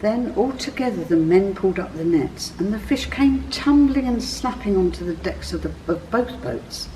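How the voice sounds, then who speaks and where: A woman reading a scripted children's story as a church storyteller, with expressive, clearly phrased delivery. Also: mid-range voice, moderate pace, far from the mic, a little hiss or hum, low-quality recording.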